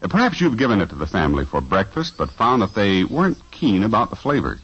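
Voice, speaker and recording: Brief: deep, husky old-time radio announcer, lively salesman pitch, muffled vintage broadcast. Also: quick pace. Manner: smooth, persuasive, salesman-like. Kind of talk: 1950s radio ad read.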